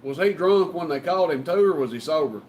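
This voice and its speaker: A man's voice: a little high, lively intonation, brisk, lo-fi sound, very noisy. A man chatting casually as the host of a CB radio live stream. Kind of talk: casual chat.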